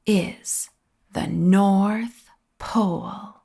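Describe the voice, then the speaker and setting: A woman voicing a male train conductor in a storybook, a knowing guide announcing things to children in a measured way. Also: medium pitch, fairly even intonation, vocal fry, dark tone, breathy edge, full character voice.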